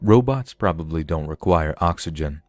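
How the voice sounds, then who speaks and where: A man voicing a cold, matter-of-fact security officer in a science fiction story, deliberate and unmoved. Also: rumbling low, lively intonation, darkish tone, no room sound, clean studio sound. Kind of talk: dramatised science fiction audiobook.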